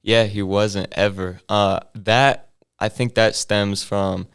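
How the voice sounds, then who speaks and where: A young man, a hip hop artist, talking casually in an interview with a deep, bassy voice that he feels comes out mumbled, in laid-back slang full of fillers. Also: little pitch movement, takes it slowly, creaky, fairly bright tone, clear, resonant tone.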